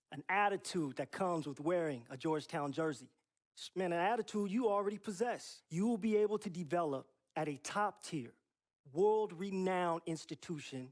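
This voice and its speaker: Guy playing college hoops recruiter, highish voice, polished proud sales pitch. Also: natural voice. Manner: measured, emphatic, salesmanlike.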